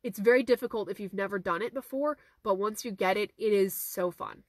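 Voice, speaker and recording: A woman in her thirties, a horse trainer with a hoarse, fading voice, answering viewer questions in a casual live stream from her car, phone held at arm's length, repeating her key points earnestly. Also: mid-range voice, fairly quick, no echo, clean studio sound. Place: inside a parked car. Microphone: phone camera at arm's length.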